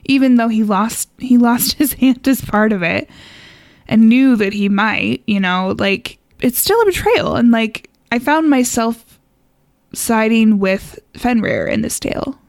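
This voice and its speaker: Woman, podcast co-host, creaky voice, informal and wry, close dry sound. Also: a little high, lively intonation, measured pace, no room sound. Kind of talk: casual chat.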